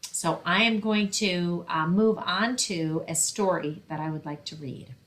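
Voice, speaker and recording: A woman's voice: fairly low pitch, measured pace, fairly bright tone. A woman reading a picture book aloud and explaining things simply for children, as a librarian hosting story time.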